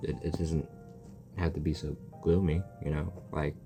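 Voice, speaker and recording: A man's voice: a bass voice, flat delivery, normal speed, mellow. A man doing casual, blunt and joking reaction commentary as he plays a video game. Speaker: gaming video creator. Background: faint game music.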